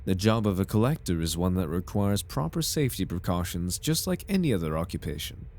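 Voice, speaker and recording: A man's voice: low voice, animated, a little fast, a little mellow. A man playing a suave, over-polite corporate recruiter in an ASMR roleplay, with a formal, unctuous salesman's patter. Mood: eager, coaxing. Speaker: voice actor in an audio roleplay. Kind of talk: ASMR roleplay, scripted in-character monologue.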